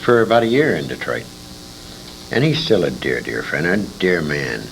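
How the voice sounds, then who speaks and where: Older American male, very low gravelly voice, animated anecdotal interviewee on a noisy amateur tape. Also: normal speed. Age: 60s. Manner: animated anecdotal storytelling with emphatic repetition. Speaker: interview guest, old-time radio veteran. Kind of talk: casual reminiscing interview. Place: radio convention, live interview.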